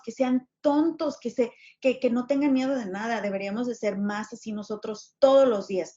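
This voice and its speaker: Emphatic Mexican woman guest. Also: high voice. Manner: earnest, emphatic, animated storytelling. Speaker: guest, journalist and businesswoman. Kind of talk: podcast interview conversation. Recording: dull lo-fi sound.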